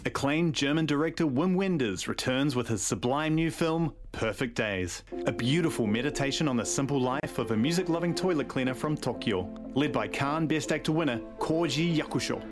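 A man's voice: mid-range voice, normal speed, few pauses. A man reading a scripted film advertisement as a voice-over. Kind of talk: scripted film promo narration. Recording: music bed behind the voice.

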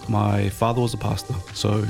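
A New Zealand man in his thirties with a gravelly voice gives laid-back, brief answers. He hesitates with "uh" often. Faint music sits under his voice. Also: on the low side, little pitch movement, normal speed. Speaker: guest artist. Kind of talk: casual interview chat.

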